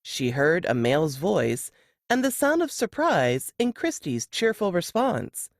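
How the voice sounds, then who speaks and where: Lady reading a novel, low voice, slow, super swoopy intonation. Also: big pitch swings, no room sound, studio quality. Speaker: audiobook narrator. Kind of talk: scripted fiction narration.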